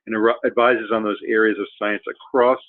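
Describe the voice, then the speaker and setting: A man hosting a webinar, introducing the speakers in a halting way with many fillers. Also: fairly low pitch, little pitch movement. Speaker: webinar host. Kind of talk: hesitant spoken introduction. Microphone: conference call. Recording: tinny phone-line audio.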